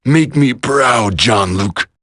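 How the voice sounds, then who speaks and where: A man voicing a gruff, wounded veteran soldier in a video game, strained and husky but stoic. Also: mid-range voice, lively intonation, takes it slowly, fairly bright tone, no echo, clean studio sound. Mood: weary resolve. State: weak, strained from injury.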